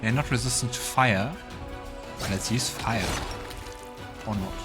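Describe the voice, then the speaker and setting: A man's voice: mid-range voice, unhurried. A man giving casual let's play commentary and narrating scenes from a fantasy game. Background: game music.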